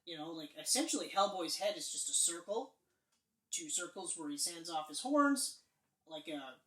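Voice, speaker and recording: A man's voice: fairly high pitch, lively intonation, normal speed, pushed and bright. Man, podcast co-host, rambling casual chat, roomy room, mic set back. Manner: rambling, with many fillers and restarts. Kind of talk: casual chat about movies. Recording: roomy echo, mic distant.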